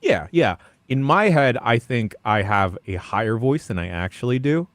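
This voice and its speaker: A man with a deep voice co-hosting a podcast in casual, easygoing chat. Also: very animated, moderate pace, dry recording. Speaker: podcast co-host.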